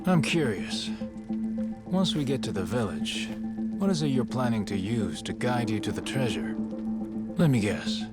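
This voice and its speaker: A man's voice: medium pitch, animated, a little slow, pauses often, lightly acted. A man playing a shrewd, distrustful gangster negotiator in a video game dub, terse and probing. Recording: loud music behind the voice.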